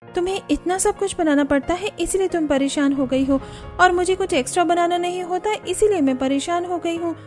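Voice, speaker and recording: A woman's voice: very high voice, brisk, a little mellow, faint music behind, voice pushed up. A woman voicing a weary young Indian daughter-in-law in a cartoon story, complaining plaintively. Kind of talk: Hindi cartoon story dialogue.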